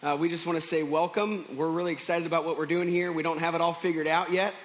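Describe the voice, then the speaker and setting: Hoarse, chatty young pastor man. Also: a little high, steady tone, fast. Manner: chatty, joking, with fillers and restarts. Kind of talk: casual sermon. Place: church service.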